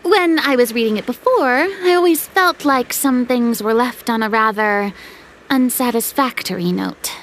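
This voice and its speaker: A woman's voice: a little high, normal speed, a little hiss or hum, natural voice, low-quality recording. A woman playing a proud, theatrical young star turned film director in a video game, haughty and self-important. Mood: haughty, deliberating.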